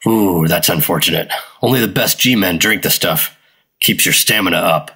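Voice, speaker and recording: Deep-voiced secretive government operative. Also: male voice, moderate pace, sharp tone, slightly rough, full character voice. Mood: cool, probing. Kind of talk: 1950s radio drama acting.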